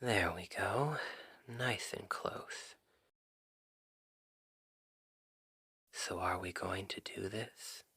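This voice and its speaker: A man acting a shy, earnest best friend in an intimate ASMR roleplay, speaking softly and haltingly. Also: sits low, husky, dry recording, studio quality, heavily acted. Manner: hesitant, halting, soft-spoken. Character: shy, earnest male Cupid best friend confessing love.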